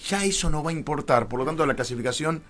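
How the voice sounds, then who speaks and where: Emphatic Argentine man, very animated pitch. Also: quick pace. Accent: Rioplatense Argentine. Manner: opinionated, emphatic, irreverent. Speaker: interview guest, filmmaker. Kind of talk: conversational radio interview, explaining at length.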